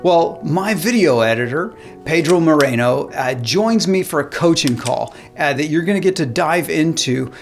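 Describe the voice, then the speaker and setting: A male host running a casual coaching call, with a voice a little higher than most men's. He talks upbeat and in bursts, using lots of fillers, while faint music plays in the background. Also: expressive, normal speed, vocal fry, hardly any pauses.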